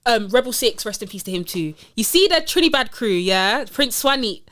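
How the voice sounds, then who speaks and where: Animated woman podcast co-host. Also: a little high. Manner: animated, enthusiastic, quick laughs. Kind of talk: casual chat.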